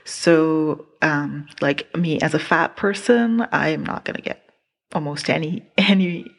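A woman with a non-American accent records informal feedback as a listener. She speaks very slowly and haltingly, in a somewhat low voice, repeating words as she goes. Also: gravelly. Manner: hesitant, halting phrasing with repeats. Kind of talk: informal spoken commentary.

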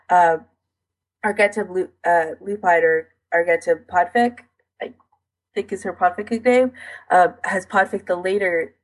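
A woman podcast co-host with a husky, slightly rough voice. She talks in a casual, informal way with lots of "like", as in a chat between hobbyists. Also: mid-range voice, rough recording. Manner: informal, frequent "like" as filler.

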